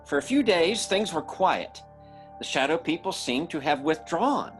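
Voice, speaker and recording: An anxious young man in an old-time radio horror drama, telling his tale in a halting, stammering way. His pitch swings widely and the pace is unhurried. Faint music plays behind him. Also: lively intonation. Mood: grave narration.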